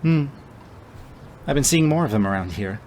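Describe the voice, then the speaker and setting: A man voicing a kind-seeming woman in a tabletop game, with a casual, hesitant, soft-spoken manner. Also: medium pitch, lively intonation, normal speed, slight background noise, pitched above the performer's own voice, close to an everyday voice. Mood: wistful. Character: kind-seeming young woman, genuine, quietly joyful, secretly callous.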